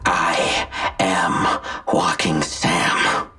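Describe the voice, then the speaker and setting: A man playing a smug, gloating imprisoned villain in an audio drama, slow and mocking, with a gravelly, husky voice. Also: low-pitched, level tone, pushed and bright, soft background music, exaggerated voice. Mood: gloating, sly.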